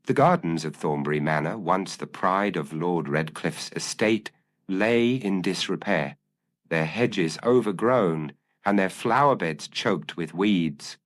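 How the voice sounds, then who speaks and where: Deep-voiced man narrating gothic mystery. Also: expressive, measured pace.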